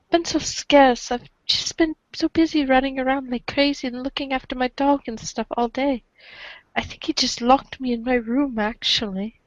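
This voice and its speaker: Scatterbrained, sweet-natured girl acted by a woman, high pitch, casual chatty reader's theater, slightly muffled sound. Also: no room sound, close to an everyday voice. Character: friendly, apologetic, scatterbrained young girl. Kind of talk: amateur reader's theater, reading chat messages.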